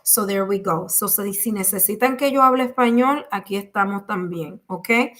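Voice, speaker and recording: A woman's voice: mid-range voice, fairly quick, fairly bright tone, a little hiss or hum. A woman with a Puerto Rican accent giving a live tarot reading in a chatty way, full of fillers and repetition. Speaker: tarot reader.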